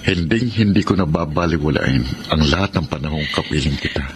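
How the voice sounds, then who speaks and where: A man's voice: extremely low pitch, fairly quick, slight background noise. A Filipino man acting in a radio drama as a repentant, tender and earnest father and husband. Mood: tender, remorseful.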